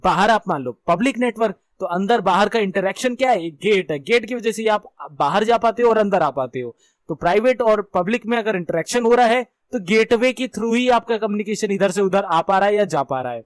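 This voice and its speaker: A man with a distinctly high voice and an Indian accent explains technical material like an informal instructor. He talks conversationally and often rephrases his points. The sound is dry and close, with very little room in it. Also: no room sound. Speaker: computer networking instructor. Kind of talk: informal explanatory lecture mixing Hindi and English.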